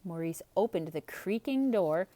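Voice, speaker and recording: A woman's voice: fairly low pitch, expressive, a little slow, mellow. A woman storyteller narrating a children's tale as a theatrical read-aloud.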